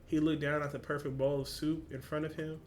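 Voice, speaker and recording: A man's voice: a little high, level tone, a little fast, darkish tone, a little hiss or hum. A man with a casual, slangy, informal way of talking, hosting a reaction video and reading along.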